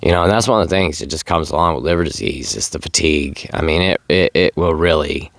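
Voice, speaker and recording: Male vlogger, very deep and dry-sounding, tired failing voice, plain-spoken and unhurried in casual address. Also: fairly bright tone, dry recording. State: losing his voice, tired. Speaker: sobriety vlogger. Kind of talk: casual vlog talk to camera. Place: outdoors.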